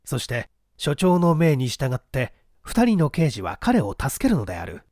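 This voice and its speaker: A man's voice: mid-range voice, a little mellow, no echo, studio quality. A man reading a novel aloud as a dramatic scripted narration. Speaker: audiobook narrator.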